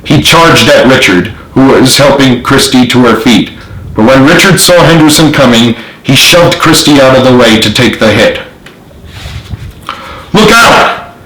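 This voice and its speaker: A man's voice: medium pitch, normal speed, fairly bright tone. A man reading his own novel aloud as author, in dramatic storybook narration. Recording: roomy, echoey home recording.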